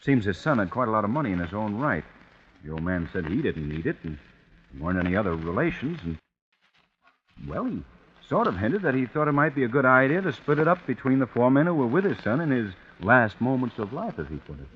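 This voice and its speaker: An actor playing a wary, skeptical husband in an old-time radio crime drama. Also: male voice, medium pitch, big pitch swings, brisk, natural voice. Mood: uneasy, thoughtful. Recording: muffled, noisy old radio drama recording.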